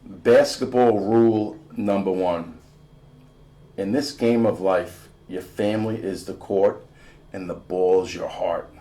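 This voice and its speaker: A man reading a novel in verse aloud in an expressive, storytelling way. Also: low voice, slow. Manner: expressive, acts out dialogue between family members. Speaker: reader from a school community.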